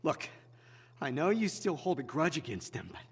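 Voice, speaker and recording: An actor playing a stressed, defensive young corporate scientist in a video game, with a husky, exasperated voice. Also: male voice, on the high side, sing-song, moderate pace, heavily acted. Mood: alarmed, defensive. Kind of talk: dramatic video game voice acting.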